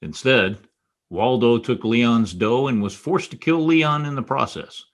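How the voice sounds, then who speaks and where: A man's voice: mid-range voice, measured pace, close to an everyday voice. A man playing a wry, hard-boiled, dogged private detective in an old-time radio style drama. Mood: dry, wry.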